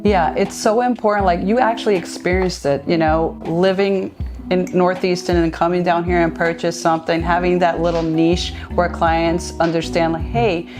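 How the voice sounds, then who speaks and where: A woman's voice: lowish voice, fairly even intonation, moderate pace, over music. A woman from New Jersey hosting a real estate interview, upbeat and emphatic, with rising greetings. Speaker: podcast host.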